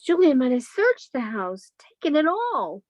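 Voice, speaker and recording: An actress playing an earnest, outwardly timid but cunning sister in an old-time radio drama, with theatrical delivery. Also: female voice, high voice, sing-song, measured pace, no echo, muffled recording. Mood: meek pleading.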